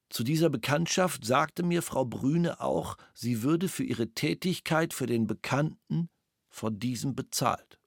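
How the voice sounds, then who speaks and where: A man's voice: medium pitch, no echo, clean studio sound. An actor reading the statement of a male witness in a formal, matter-of-fact way.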